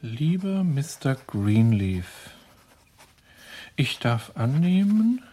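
An actor playing a writer who slowly reads out formal letters and documents in a radio drama. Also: male voice, on the high side, dark tone, exaggerated voice. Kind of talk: slow scripted reading of letters and documents.

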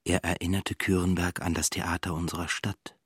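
A man's voice: low-pitched, flat delivery, moderate pace, slightly rough, no room sound, clean studio sound. An actor playing an ashamed, estranged son who recalls his family as narrator of a radio drama, brooding and restrained. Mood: detached recollection.

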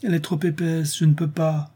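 A man's voice: a little high, flat delivery, brisk, warm tone, husky, voice pushed up. A man voicing a poor, hungry child in a storybook reading, in a plaintive manner. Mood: distressed.

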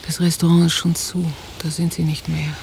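A woman's voice: low-pitched, level tone, normal speed, creaky, darkish tone. A woman acting a solitary, observant night wanderer, giving a subdued interior monologue in a radio drama. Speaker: actor. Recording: hissy old cassette of radio play.

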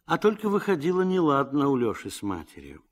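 A man narrating a literary radio drama as a gently ironic storyteller. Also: fairly high pitch, wildly swooping pitch, a little fast. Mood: wry, reflective.

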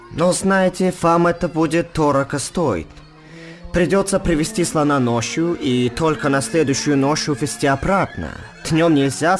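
An actor playing a fussy, businesslike foreign circus man in a radio play, deliberate and hemming, in broken Russian. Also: on the high side, lightly acted. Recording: music under the voice.

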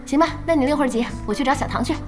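An actress playing a spirited, outspoken young female boss in a radio drama, with a brisk and expressive manner. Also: sits high, music in the background, lightly acted. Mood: decisive. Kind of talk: Chinese radio drama acting.

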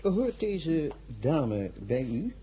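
A man playing a deferential household servant in a radio drama, formal and measured. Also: fairly high pitch, sing-song, mellow, slight background noise, heavily acted.

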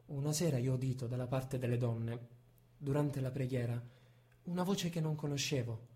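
A man's voice: medium pitch, normal speed, darkish tone, natural voice. An actor playing an anxious, yearning blind man in theatrical stage style. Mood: yearning, subdued. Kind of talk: theatrical stage-play acting.

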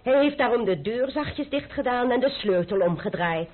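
A woman's voice: a little high, animated, moderate pace. A woman in a Dutch radio play voicing a bold young noblewoman posing as a swaggering chevalier, airy and mocking. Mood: smug teasing. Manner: airy, mocking, playfully formal. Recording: muffled phone-like old radio recording.

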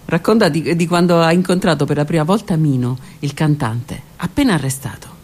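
A woman's voice: low voice, brisk, a little mellow, breathy edge. A woman performing a theatrical monologue for radio, intimate and reflective, moving between narration and direct address. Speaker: actress and theatre teacher.

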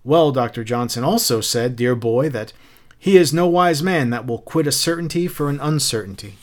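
A man's voice: mid-range voice, expressive, normal speed, natural voice. A man voicing a smug, taunting fire captain in a story, mocking and theatrical as he spouts literary quotations. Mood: gloating, taunting. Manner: theatrical, mocking, quote-laden speech.

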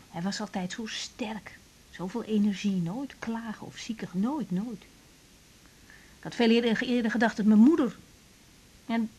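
An actress playing a tired, caring young woman doctor in a naturalistic radio drama, speaking haltingly and sometimes murmuring. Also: on the high side, warm tone, natural voice, rough recording. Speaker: general practitioner in a radio drama. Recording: clear tape hiss on radio recording.

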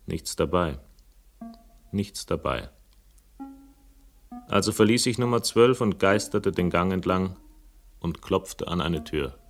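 An actor playing a brooding, sardonic first-person narrator of a radio drama, with dry, literary, gloomy wit. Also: male voice, low voice, slow pace, pauses often, faint music behind.

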